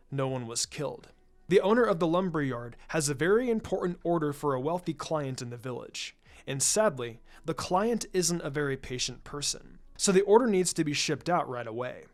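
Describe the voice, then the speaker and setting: A man voicing a calm, laid-back ninja teacher in a story reading, measured and matter-of-fact. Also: a little high, lightly acted. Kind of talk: storybook-style character reading.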